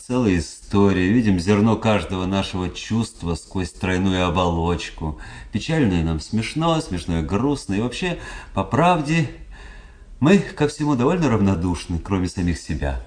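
A man playing a witty, bored young gentleman in a radio drama, talking in a wry, ironic way with drawn-out endings. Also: low voice. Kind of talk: theatrical radio drama dialogue.

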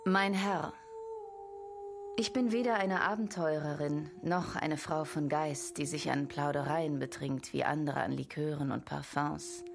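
A woman's voice: sits low, steady tone, unhurried, creaky. An actress playing a mysterious, ardent female admirer, speaking formally and floridly in a radio drama. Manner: formal, florid, theatrical letter-reading. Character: mysterious ardent woman admirer writing to a novelist.

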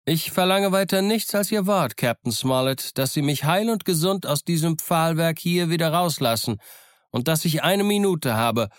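A man acts out a wily pirate chief in an audiobook adventure. The voice is pushed high and loud, calling out jovially with swaggering, ingratiating politeness, recorded very dry. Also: expressive, moderate pace, no echo, lightly acted. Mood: wily, formal. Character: bold, cheerful, wily male pirate leader calling out.

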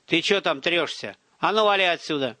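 Man doing a rough grumbling young guard. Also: on the high side, normal speed, bright, forceful tone, dry recording, pitched above the performer's own voice. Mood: hostile. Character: gruff, rude young male guard, stubborn and streetwise.

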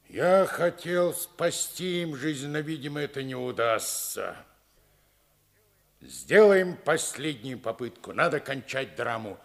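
German army colonel, imperious, impatient, somewhat high pitch, theatrical, formal, vintage Soviet radio play. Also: male voice, gravelly, full character voice. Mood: grave, resigned.

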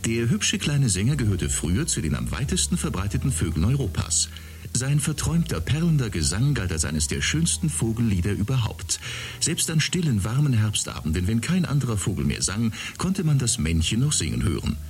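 An actor playing a male innkeeper who delivers smooth, lilting announcer patter in a radio play. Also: on the low side, big pitch swings, brisk, darkish tone, a little hiss or hum. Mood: cheerful. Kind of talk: radio drama acting.

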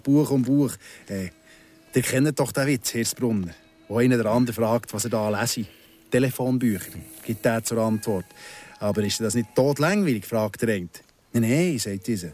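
An actor playing a vengeful, patient ex-convict in his thirties in a radio drama, speaking Swiss German in a deliberate, sardonic way. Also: mid-range voice, darkish tone, lightly acted. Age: 30s.

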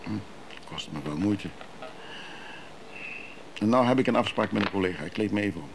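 Evasive hotel guest guy in a radio play, creaky voice, terse and halting, bit of background noise. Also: fairly low pitch, animated.

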